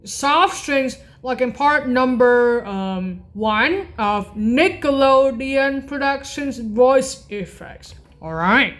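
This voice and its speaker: A woman giving an informal music tutorial in a halting, repetitive way, correcting herself as she goes. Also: high-pitched, lively intonation, a bit bright. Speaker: music practice video host. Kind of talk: informal spoken tutorial naming keyboard voice effects.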